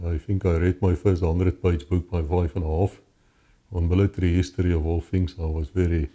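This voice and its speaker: Deep, dark-voiced South African man. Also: level tone, brisk. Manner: earnest, storytelling, repeats words when restarting. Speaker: interview guest. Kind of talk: personal testimony in conversation.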